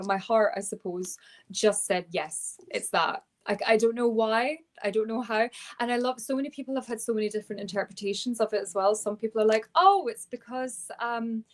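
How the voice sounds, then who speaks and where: A woman's voice: fairly high pitch, moderate pace. A woman author being interviewed, talking earnestly and reflectively, often restarting her sentences with fillers. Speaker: author guest.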